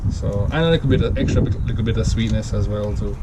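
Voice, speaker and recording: A man's voice: fairly low pitch, quick pace, heavy background noise. A man from Jamaica with a Jamaican accent, casually talking through a drink recipe in a rambling, self-correcting way. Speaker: home cocktail vlogger. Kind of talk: casual step-by-step recipe demo.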